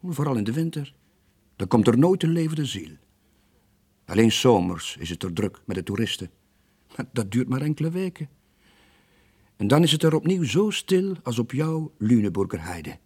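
An actor playing a weary, lonely sailor in his late forties, gentle but evasive, with a husky voice and a subdued, hesitant manner in a radio drama. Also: medium pitch, very animated, moderate pace, pauses often. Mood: reluctant. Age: late 40s.

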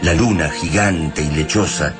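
A man with an Argentine accent giving measured, literary narration for a radio drama. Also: very deep voice, over music.

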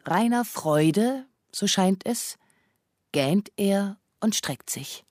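A woman narrating a literary radio drama in a deliberate, observational way. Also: mid-range voice, animated, a little mellow, no room sound, studio quality.

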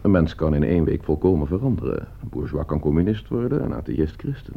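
An actor playing a coldly scheming gentleman heir in a radio play, suave, calculating and condescending. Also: very deep voice, sing-song, fast talker, gravelly, exaggerated voice. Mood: coolly probing. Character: coldly scheming, broke gentleman heir plotting his uncle's murder. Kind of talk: Dutch radio play acting. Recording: muffled old radio play recording.

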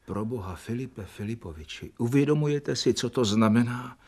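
A man's voice: medium pitch, animated, a little mellow, slightly rough. An actor playing an earnest young doctor who admires his teacher, in a radio drama. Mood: alarmed. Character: young male doctor, devoted assistant. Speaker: Doctor Bormental. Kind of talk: 1990 radio drama acting.

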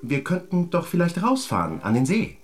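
A man's voice: on the high side, wildly swooping pitch, normal speed, slight background noise. An actor playing a coaxing, somewhat hesitant father of a young girl in a children's radio drama. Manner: hesitant, cajoling, falsely cheery.

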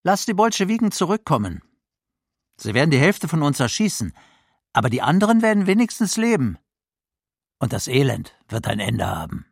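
A man's voice: on the high side, sing-song, normal speed, creaky, pauses often, husky, no room sound, studio quality, full character voice. An actor playing an agitated, bitter, blunt townsman in a wartime documentary radio play. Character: agitated, bitter townsman longing for misery's end.

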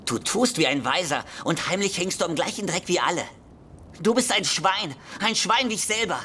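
An actor playing a lonely, agitated schoolboy seeker in a radio drama, pleading urgently and earnestly. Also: male voice, sits high, animated, normal speed, bright, forceful tone, slight background noise. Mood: bitter, accusing. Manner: urgent, hasty, pleading, confiding. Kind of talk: German literary radio drama.

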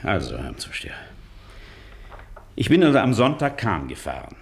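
Stiff, earnest doctor, formal and circumstantial, touchy, lively shifting intonation, radio drama. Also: male voice, on the low side, moderate pace, creaky, exaggerated voice. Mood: earnest, deliberate. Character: earnest, easily offended gentleman doctor, formal and stubborn. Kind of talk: German radio play acting.

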